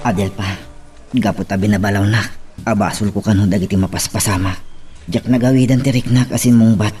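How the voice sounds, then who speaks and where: A man's voice: fairly low pitch, fairly even intonation, fairly quick, a little mellow, soft background music, lightly acted. A man playing a troubled, resigned lover in an Ilocano audio drama. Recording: slightly distant from the mic.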